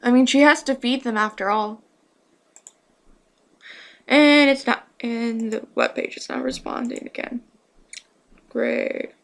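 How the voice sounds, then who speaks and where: A woman's voice: fairly high pitch, slow, gravelly, long pauses. A woman giving casual, off-the-cuff commentary as she reacts to a story. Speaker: commentator reacting to fan fiction reading.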